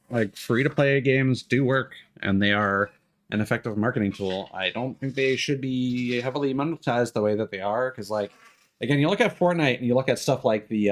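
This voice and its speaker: A man in his thirties chatting casually on a game livestream, rambling and opinionated as he talks. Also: mid-range voice. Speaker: game streamer.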